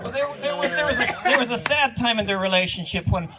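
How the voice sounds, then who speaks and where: A man's voice: very high voice, animated, quick pace, a loud crowd, heavy background noise, pitched above the performer's own voice. A man playing Lars, a folksy Norwegian-American from North Dakota, telling marriage jokes with an Upper Midwest accent. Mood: deadpan. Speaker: wedding entertainer. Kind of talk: comic duo routine at a wedding.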